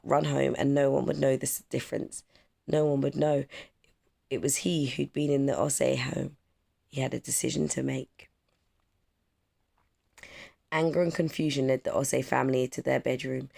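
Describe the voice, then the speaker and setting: Very creaky-voiced children's storyteller. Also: medium pitch, a little mellow. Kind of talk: children's storybook read-aloud, scripted narration.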